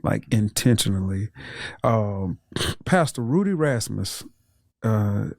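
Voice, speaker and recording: Brief: thirties man, reflective pastor, gravelly, laughs easily, dry sound. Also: on the low side, lively intonation, slow, dry recording. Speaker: pastor and guest. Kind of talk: conversational interview.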